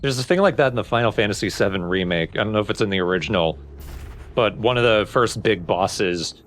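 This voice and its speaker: Lower-voiced man chatting casually. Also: lively intonation, a little fast, fairly bright tone, a little hiss or hum. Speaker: let's play co-commentator. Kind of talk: casual chat.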